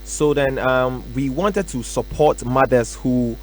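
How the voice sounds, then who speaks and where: A young man from Ghana, a musician answering radio interview questions in a relaxed, soft-spoken way with many fillers. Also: medium pitch, takes it slowly, a little hiss or hum, low-quality recording. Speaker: musician guest. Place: radio studio.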